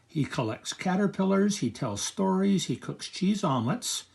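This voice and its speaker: Older guy doing a nosy sweet cat pal from a storybook, pitched up, bouncy intonation. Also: sing-song, moderate pace, gravelly, heavily acted. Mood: supportive. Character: curious, caring cat friend in a storybook.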